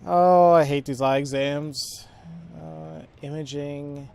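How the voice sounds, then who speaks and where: A man giving casual, halting let's play commentary, informally thinking aloud as he goes. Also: on the high side, fairly even intonation, creaky, noisy background. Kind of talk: casual video game commentary.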